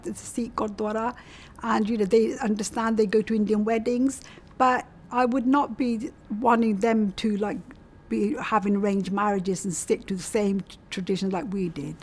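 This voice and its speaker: A woman's voice: fairly high pitch, a little mellow. A woman, chatting and reminiscing about her own life in an interview, often repeating points for emphasis. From South West England. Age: 60s. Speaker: community storytelling interviewee. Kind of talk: casual personal reminiscence in an interview.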